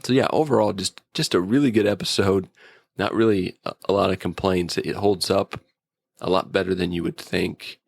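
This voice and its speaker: A man's voice: low voice, fairly even intonation, moderate pace, vocal fry, husky, no echo. A man co-hosting a casual, joking review chat, riffing with playful exaggeration. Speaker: podcast co-host reviewing TV episodes.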